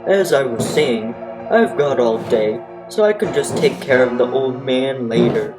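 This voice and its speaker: A man plays a slacker monster in a comic radio drama. He has a medium-pitched, unbothered voice and drawls casual dude slang, with music clearly playing behind him. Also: unhurried, close to an everyday voice, poor audio. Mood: whiny excuses. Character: lazy, laid-back young slacker monster who loves video games. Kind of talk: comic radio play acting.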